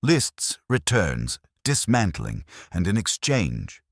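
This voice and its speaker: A man's voice: low voice, huge pitch swings, takes it slowly, gravelly, no echo, studio quality, pitched above the performer's own voice, heavily acted. A man voicing a vain, theatrical young schemer in a mystery audiobook, with clipped, arch, sardonic phrasing. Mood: grudging, defiant. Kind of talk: audiobook detective story dialogue.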